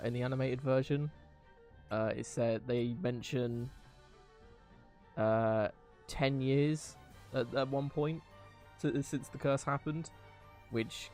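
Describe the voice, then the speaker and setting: A man's voice: mid-range voice, a little mellow. A young man giving casual live gameplay commentary, rambling hesitantly in an amused way. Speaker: game streamer. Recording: game music behind voice.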